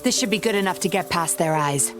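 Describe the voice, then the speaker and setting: Game role: woman as cunning undercover officer, composed, smooth-talking, slightly low voice, clear music behind. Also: fairly quick, natural voice, rough recording. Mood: conspiratorial. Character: sly, composed undercover female patroller. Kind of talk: video game voice acting.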